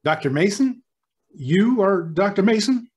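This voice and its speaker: Guy playing a rattled hospital boss in an old radio play, halting, earnest, voice goes up and down a lot. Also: high voice, animated, a little mellow, dull audio. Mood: startled, flustered. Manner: halting, repeats himself when rattled. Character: flustered, earnest male hospital superintendent, a doctor. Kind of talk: old-time radio drama acting.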